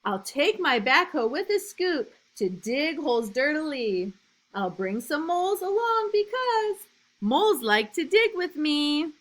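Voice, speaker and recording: A woman reading a rhyming storybook as an eager, imaginative little boy, in an emphatic sing-song with drawn-out line endings. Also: high voice, sing-song, pitched above the performer's own voice. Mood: eager, excited. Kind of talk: rhyming storybook read aloud.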